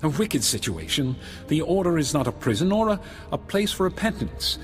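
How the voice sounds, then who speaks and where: An actor playing a stern, reserved master of a knightly order in a video game, speaking formally and with measured care. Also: male voice, a little high, big pitch swings. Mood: reserved, skeptical. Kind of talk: video game character acting. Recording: long hall reverb, music behind.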